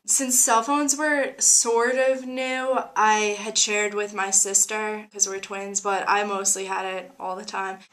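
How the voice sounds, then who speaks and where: A woman's voice: medium pitch, little pitch movement, takes it slowly, few pauses, a bit bright. A nineteen-year-old woman, a college freshman, giving thoughtful, fluent interview answers. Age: teens. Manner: thoughtful, fluent, uses like and I mean.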